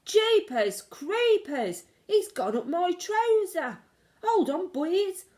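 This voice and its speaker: A storybook kitchen cook, frantic and outraged, as acted out by a woman reader. He yells in exclamations, his voice pushed high and loud with alarm and fury. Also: sing-song, slow pace, exaggerated voice. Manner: yelling, exclamatory. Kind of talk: children's storybook reading.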